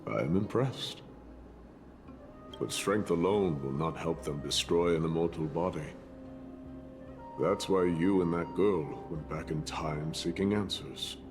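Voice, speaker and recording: Deep, slow grave warrior. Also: male voice. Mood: solemn, measured. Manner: measured, solemn, formal. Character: grave, stoic warrior ally, weary of a doomed world. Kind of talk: dramatic video game voice acting. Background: game music.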